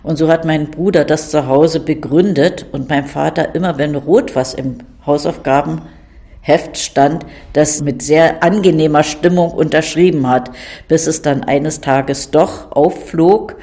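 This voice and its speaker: Dark gravelly voice telling a story. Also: medium pitch, steady tone, measured pace. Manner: fluent storytelling. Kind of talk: interview answers.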